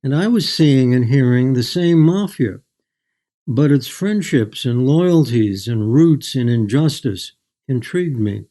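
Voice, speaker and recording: An older man speaking as a guest author in a reflective literary interview, measured, thoughtful and articulate. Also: mid-range voice, expressive, warm tone.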